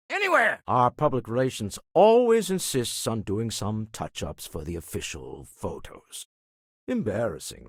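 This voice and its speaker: Wealthy older gentleman explorer, video game character, low voice, very varied intonation, refined, dryly witty, close dry recording. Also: husky, dry recording, full character voice. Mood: alarmed, then wry. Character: wealthy, urbane older businessman explorer, wry and genteel.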